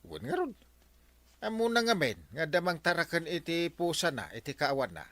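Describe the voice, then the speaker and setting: An actor with an Ilocano accent playing a fretful, excitable village captain in a radio comedy drama, exclaiming and grumbling. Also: male voice, on the high side, moderate pace, no echo, exaggerated voice. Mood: exasperated. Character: fretful, excitable barangay captain, comically exasperated man.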